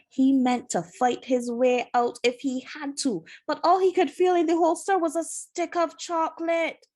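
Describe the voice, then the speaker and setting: A woman reading a children's adventure novel aloud as scripted narration, with expressive, dramatic storytelling. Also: on the high side. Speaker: storybook reader.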